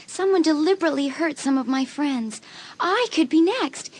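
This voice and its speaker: Brief: a woman playing an anxious fifteen-year-old girl, high voice, wary. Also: expressive, moderate pace. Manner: nervous and wary.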